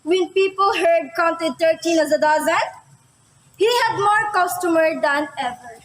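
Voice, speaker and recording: Very high-voiced woman narrating in echoey room. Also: unhurried. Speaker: readers theater narrator. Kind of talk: scripted children's story narration. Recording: echoey room with clear noise.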